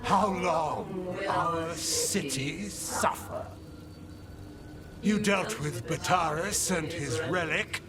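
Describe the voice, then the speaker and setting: A man voicing a menacing, zealous cult blood mage speaking through a possessed body, grandiose and deliberate in a dramatic video game. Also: high-pitched. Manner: grandiose, theatrical, slow and deliberate. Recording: loud music under the voice.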